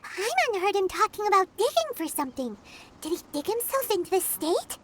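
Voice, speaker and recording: A tiny floating game sidekick, squeaky and childlike, pitched extremely high. The delivery bounces around eagerly and excitably, over clear background noise. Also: female voice, a little fast, exaggerated voice. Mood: worried. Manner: refers to self in third person, exclaims eagerly. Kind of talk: video game voice acting.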